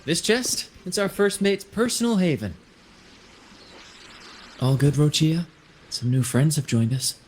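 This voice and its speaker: A flamboyant sea captain from a video game, genial and grand. His delivery is highly animated and showy, with florid phrasing. Some ambient noise sits behind the voice. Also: unhurried, pauses often. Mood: genial, amused. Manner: grand, showman-like, flowery phrasing. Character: flamboyant, genial male ship captain and showman. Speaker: video game character voice actor. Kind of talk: theatrical video game dialogue.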